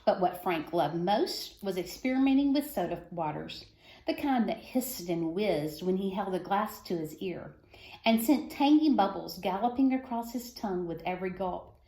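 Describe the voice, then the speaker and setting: A woman's voice: mid-range voice, expressive, normal speed, mellow, echoey room, rough recording. A woman reading a children's story aloud for schoolchildren, phrasing expressively and stressing key words. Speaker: reader of a children's book.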